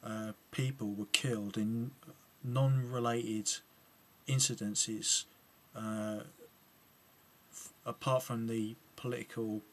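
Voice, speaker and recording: English accent, man, video commentary, hesitant, restarts often, medium pitch. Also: steady tone, slow pace, a bit bright. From England. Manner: hesitant, many ums and restarts. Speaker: video blogger replying to another video. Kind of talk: casual spoken commentary to camera.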